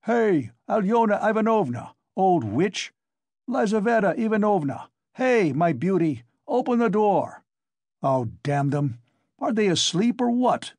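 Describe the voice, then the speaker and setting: A man's voice: sits high, big pitch swings, unhurried, darkish tone, dry recording, voice pushed up, full character voice. A man voicing a big, impatient, irascible visitor in an audiobook, exasperated and given to cursing. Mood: enraged, bawling.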